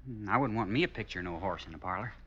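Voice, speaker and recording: An actor playing a dim, jittery young outlaw with a rural American Western drawl in a 1950s radio drama. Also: male voice, lowish voice, expressive, very fast, a bit bright, slightly rough, dull audio. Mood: casual.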